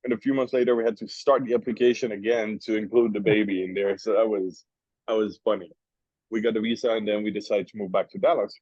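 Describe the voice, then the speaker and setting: A man from Switzerland talks casually over lunch in a fairly low voice, circling back and restarting as he tells a story. The sound is dull and muffled, with no room echo. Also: steady tone, quick pace, darkish tone. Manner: rambling, with restarts and long asides. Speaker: interview guest, cigar maker.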